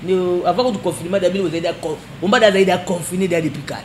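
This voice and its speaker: A woman hosting an interview, animated and quick with interjections, mixing two languages. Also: on the low side. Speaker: interviewer host. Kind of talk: lively interview chat. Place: studio set with wooden walls, indoors. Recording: echoey room with clear background noise.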